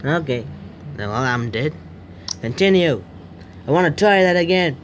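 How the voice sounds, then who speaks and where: A man hosting a gaming video, reading game text aloud and commenting on it in a jittery, excitable way. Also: fairly high pitch, a little slow, raised voice, noisy background. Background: game music.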